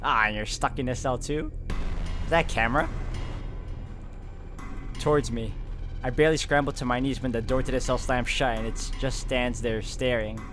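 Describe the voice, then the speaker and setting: A man's voice: medium pitch, steady tone, normal speed, long pauses, over music. A man reading a visual novel aloud as a gameplay host, narrating dramatically with casual asides.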